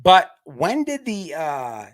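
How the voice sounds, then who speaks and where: Higher-voiced man commentating off the cuff. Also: wildly swooping pitch. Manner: halting, repeats words and restarts. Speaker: online show host.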